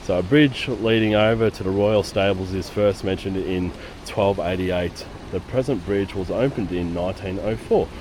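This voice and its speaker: A man with a hoarse voice from a lingering cough, giving chatty walking-tour commentary on busy city streets. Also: low-pitched, moderate pace, few pauses. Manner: chatty, rambling, with fillers. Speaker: travel vlogger. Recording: outdoor street noise. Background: city street noise and traffic.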